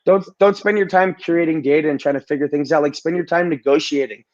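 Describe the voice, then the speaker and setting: A man with a raspy voice from a recent cold, talking casually as a guest and often repeating words as he starts sentences. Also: fairly high pitch, fast, darkish tone, muffled recording. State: recovering from a cold. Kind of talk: casual interview talk.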